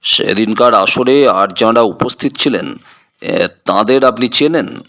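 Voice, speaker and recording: A man's voice: medium pitch, measured pace, fairly bright tone, voice pushed down. A man playing a polite, methodical police inspector with a formal, probing manner in an audio drama.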